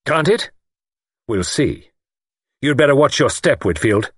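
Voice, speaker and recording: A man performs a defiant young man in an audiobook, raised a little above plain narration. He is blunt and firm, throwing out challenges in a husky, lively voice. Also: medium pitch, a little slow, no echo, clean studio sound, pitched above the performer's own voice. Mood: defiant warning. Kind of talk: audiobook character acting.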